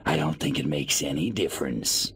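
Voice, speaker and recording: A man voicing a cocky, wisecracking alien soldier in a video game, gravelly, with a sardonic, irreverent drawl. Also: very deep voice, normal speed, full character voice. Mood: amused, dismissive. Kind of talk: video game cutscene acting.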